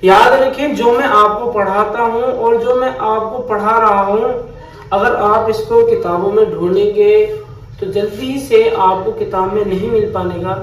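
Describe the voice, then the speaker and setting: A high-voiced man teaches a step-by-step grammar lesson, going back over each definition patiently. He sounds a little away from the mic in a very echoey room, with a faint hum behind him. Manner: patient, repeats key definitions slowly. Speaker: Arabic teacher. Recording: echoey room heard from a distance.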